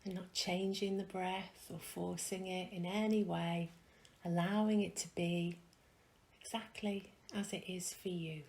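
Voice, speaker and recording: Female meditation guide: very slow, gentle and hushed, some creak in the voice, faint noise behind. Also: lowish voice, fairly even intonation. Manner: soft, soothing, gentle delivery with long pauses.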